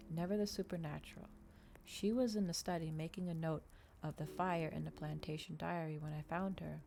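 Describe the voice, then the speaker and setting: A woman reading a novel aloud in a soft, measured ASMR storytelling style, stumbling now and then. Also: fairly low pitch, a little hiss or hum.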